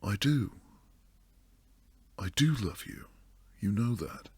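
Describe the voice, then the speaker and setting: A man playing a protective, devoted bodyguard and secret lover in an intimate boyfriend roleplay, low and husky, speaking earnestly close to the mic. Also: animated, slow pace, a little mellow, dry recording, full character voice. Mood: pleading, tender. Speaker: audio roleplay performer. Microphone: close, dry studio mic.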